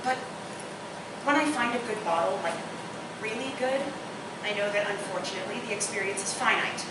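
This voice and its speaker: A woman's voice: medium pitch, a little fast, lightly acted. A woman playing a witty, opinionated single woman who loves bourbon, in live radio-play dialogue. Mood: earnest. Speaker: stage actor. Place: live theatre performance space. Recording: echoey, noisy live recording from far off.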